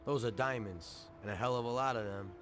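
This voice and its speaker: An actor playing a greedy, chummy small-time American mobster in a 1930s crime game, with slangy, cajoling streetwise talk. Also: male voice, mid-range voice, brisk, fairly bright tone, faint music behind, natural voice. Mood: amazed. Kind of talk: video game cutscene acting.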